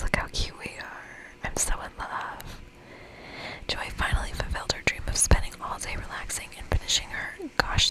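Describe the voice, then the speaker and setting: A woman whispering in a breathy, gentle, reassuring and chatty way as she hosts an ASMR game playthrough. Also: low-quality recording. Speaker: host of an ASMR gaming channel.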